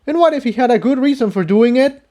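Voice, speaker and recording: A man's voice: extremely high pitch, lively intonation, fast, dark tone, pitched above the performer's own voice. A man voicing an anguished, angry young male unicorn pony in a fantasy story, agitated and argumentative. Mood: angry, bitter.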